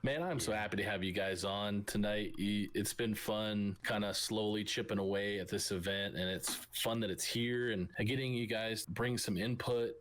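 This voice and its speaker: A man hosts a live stream panel and asks questions in an upbeat, friendly way. His voice sits slightly low. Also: steady tone, normal speed, hardly any pauses, a bit bright. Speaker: panel host.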